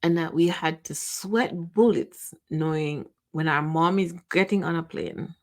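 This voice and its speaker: A middle-aged woman with a Jamaican accent, hosting her own podcast and speaking in a personal, reflective monologue. She talks emotionally, pauses often, restarts her sentences and lets some of them trail off. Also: low-pitched. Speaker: podcast host.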